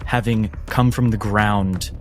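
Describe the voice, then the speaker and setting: A man narrating a tabletop game as game master, with deliberate phrasing and dramatic pauses. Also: lowish voice, steady tone, loud music behind the voice.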